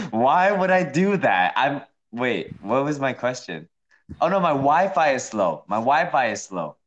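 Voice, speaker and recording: A man's voice: medium pitch, very animated, slow pace. A young man hosting a casual live stream from a room at home, talking close to his phone and teasing his guests with frequent laughs. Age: young adult. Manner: teasing, laughs often, plays an irate customer. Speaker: livestream host. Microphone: phone held close.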